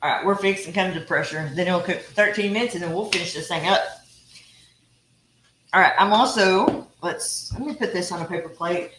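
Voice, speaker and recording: A woman's voice: on the low side, a little fast, noisy background. Texan woman, home cooking host, teasing, roomy kitchen sound. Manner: chatty, teasing, laughs often. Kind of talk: casual live cooking chat.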